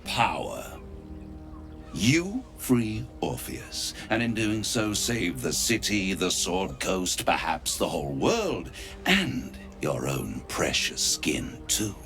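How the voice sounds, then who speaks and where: Casting: silky, grandiloquent video game devil, smug tempter, slow delivery with gravelly edge. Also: male voice, deep voice, wildly swooping pitch, fairly bright tone, faint music behind, full character voice. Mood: silky, triumphant. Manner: grandiloquent, taunting, savours words with dramatic pauses. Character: suave, theatrical male devil dealmaker, smug and menacing. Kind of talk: video game character acting.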